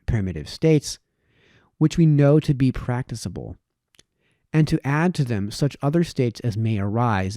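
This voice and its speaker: A man reading a formal historical essay aloud with measured phrasing. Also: mid-range voice, mellow, no echo, studio quality. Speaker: reader, host of a reading series.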